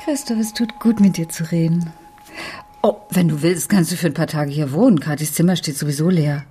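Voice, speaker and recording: A woman's voice: deep voice, fairly quick, lightly acted. Middle-aged female schoolteacher, played by an actress, harassed and emotional, radio crime drama, music underneath. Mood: gentle. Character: embattled, harassed female schoolteacher of forty, desperate and agitated.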